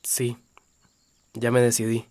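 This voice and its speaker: A man's voice: mid-range voice, monotone, slow pace, darkish tone, soft background music, natural voice. A young man playing a restless, stubborn youth set on emigrating in a radio drama. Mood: insistent.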